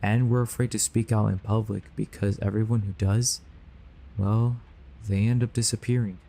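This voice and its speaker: A man's voice: on the low side, little pitch movement, normal speed, vocal fry, mellow. A man playing a grave, earnest believer warning others of a sinister conspiracy in an audio drama. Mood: grave, urgent. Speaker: voice actor.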